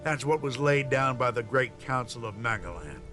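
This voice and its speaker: Man acting a dutiful game guard, medium pitch, formal, earnest, gullible, music underneath. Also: lively intonation, normal speed, natural voice. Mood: earnest, credulous. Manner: formal, earnest, recites rules. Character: officious male city gate guard, dutiful but gullible. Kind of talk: video game character dialogue.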